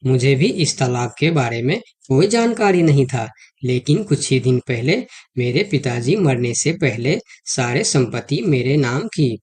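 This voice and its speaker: A crafty jackal swindler from a children's animated tale, voiced by a man. He speaks at a middling pitch in a coaxing, falsely friendly way. Every line is smooth salesmanship. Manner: persuasive, smooth-talking. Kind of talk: Hindi children's cartoon story dialogue.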